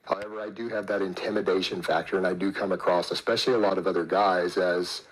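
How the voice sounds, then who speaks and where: A man's voice: deep voice, steady tone, quick pace. A man in his forties talking casually to camera in a self-assured, boastful way. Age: 40s. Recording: echoey room.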